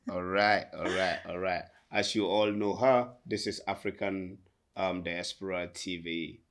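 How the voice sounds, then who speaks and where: Chatty lower-voiced male interviewer. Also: fairly even intonation, unhurried, a bit bright. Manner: enthusiastic, chatty, repeats questions. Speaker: interviewer, host. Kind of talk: casual interview. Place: boutique, indoors.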